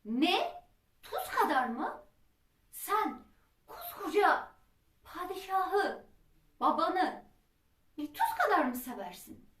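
A woman's voice: very high-pitched, very animated, slow, pauses often, fairly bright tone, voice pushed up, heavily acted. A woman storyteller voicing a proud, commanding old king and father with emphatic delivery. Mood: shocked, indignant. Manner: imperious, exclamatory, emphatic. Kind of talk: acted folk-tale storytelling. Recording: roomy echo, distant microphone.

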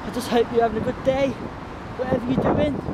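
A man's voice: extremely high pitch, steady tone, fast. A man in his twenties with an English accent, vlogging casually outdoors, rambling and informal as he talks to viewers. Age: 20s. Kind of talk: casual chat to viewers while cycling. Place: outdoors on suburban roads, riding a bicycle. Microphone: handheld camera at arm's length. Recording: very noisy outdoor wind and traffic.

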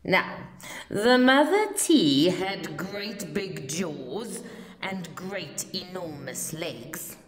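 A woman reading a children's story aloud with expressive, dramatic emphasis and deliberate phrasing. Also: fairly low pitch. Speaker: storybook reader. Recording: roomy, echoing home recording.

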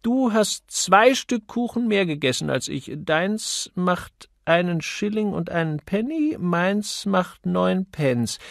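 A man voicing a gossipy, opinionated young female typist in an audiobook, with lively, emphatic delivery. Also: high-pitched, creaky, dry recording, studio quality, voice pushed up, heavily acted. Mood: brisk, chatty. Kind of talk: audiobook character voice.

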